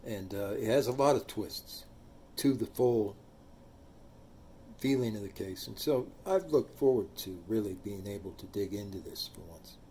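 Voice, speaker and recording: A man's voice: fairly low pitch, lively intonation, normal speed, mellow, a little hiss or hum. A man hosting a true crime channel, talking through a case and reading quotes aloud, his voice trailing off at line ends.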